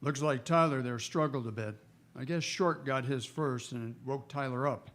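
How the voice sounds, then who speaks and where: Guy acting a steady old west marshal, american, says little and plainly, radio drama style. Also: medium pitch, normal speed, close to an everyday voice. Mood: matter-of-fact.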